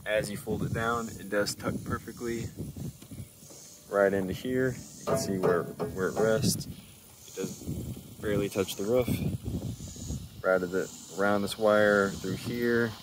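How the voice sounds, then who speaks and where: A man's voice: on the low side, steady tone, measured pace, pauses often, noisy background. A man presenting a casual, informal DIY walkthrough, explaining things step by step as he goes. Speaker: DIY car video presenter.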